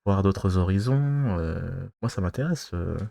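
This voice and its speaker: Deep-voiced guy from france, rambly and halting, lots of pauses, dry close sound. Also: lively intonation, gravelly, mellow, no room sound. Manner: loses his thread mid-talk. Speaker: vlogger giving dating advice. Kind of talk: casual to-camera monologue.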